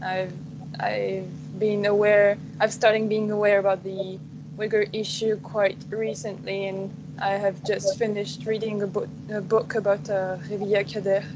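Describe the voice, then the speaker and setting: Flat-voiced young woman, noisy line. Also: mid-range voice, a little slow, lo-fi sound. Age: young adult. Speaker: student interviewer.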